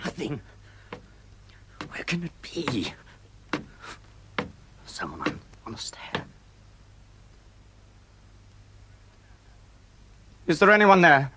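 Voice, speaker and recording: An actor playing a desperate, self-justifying murderer in a radio drama, brooding theatrically with sudden nervy outbursts. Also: male voice, a little high, huge pitch swings, takes it slowly, pauses often, heavy background noise, full character voice. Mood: nervous, searching.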